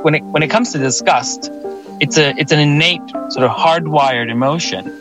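A man from Sweden, a museum co-founder, talking in an interview with many fillers and restarts and lively emphasis. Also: on the high side, normal speed, over music.

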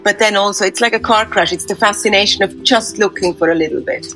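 A woman's voice: mid-range voice, fast talker, fairly bright tone, faint music behind, rough recording. A woman from Switzerland, a sculptor, speaking thoughtfully in an interview and searching for words. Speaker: sculptor, guest.

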